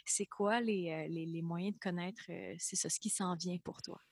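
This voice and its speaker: A woman's voice: fairly low pitch, fairly even intonation, normal speed, a little mellow, slightly rough, no room sound, studio quality. A woman with a Quebec French accent hosting a casual interview in an effusive, rambling, encouraging way. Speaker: podcast host interviewing a guest.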